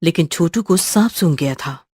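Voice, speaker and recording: A woman's voice: lowish voice, lively intonation, normal speed, a little mellow, husky, no echo, clean studio sound. A woman narrating a scripted horror story with suspenseful, dramatic delivery.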